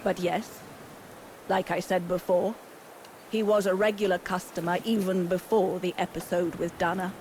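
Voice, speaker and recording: Over clear ambient noise, an actress voices a world-weary older woman who runs an inn. She is blunt and dismissive, with the bitterness of a former resistance fighter in a story-driven game. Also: lowish voice, level tone, moderate pace, close to an everyday voice. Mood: gruff, reminiscing. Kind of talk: video game voice acting.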